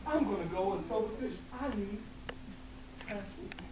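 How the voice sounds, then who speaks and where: Brief: high, shrill old woman voiced by a female storyteller, grasping and insistent, heard far off in an echoey room. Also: animated, moderate pace, lightly acted. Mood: fussy. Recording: echoey room, distant mic, hum.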